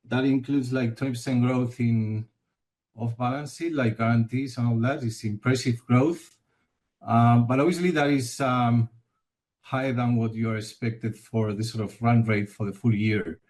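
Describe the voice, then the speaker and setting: A man with an average-pitched voice speaks as an analyst phoning into an earnings call. He puts his questions off the cuff, somewhat haltingly, repeating the odd word as he goes. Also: steady tone, darkish tone. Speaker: financial analyst, caller.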